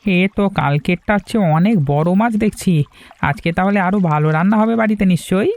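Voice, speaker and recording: A man voicing a friendly, hearty village fisherman and father in an animated cartoon. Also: sits high, quick pace, no room sound.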